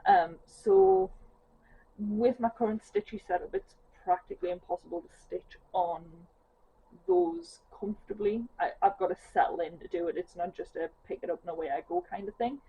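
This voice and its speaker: A woman's voice: medium pitch, animated, moderate pace, creaky. A woman from the northeast of England with a thick Geordie accent, chatting casually to camera on a sofa at home, rambling with lots of ums. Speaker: craft vlogger. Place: home living room, sitting on a sofa. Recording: tinny phone-like sound with faint hiss.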